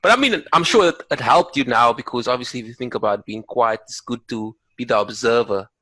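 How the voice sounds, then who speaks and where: A man hosting a podcast, his voice sitting in a middle pitch. He asks questions and affirms often, restating his guest's points back to her. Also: fairly quick, pushed and bright. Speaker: podcast host. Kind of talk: interview questions.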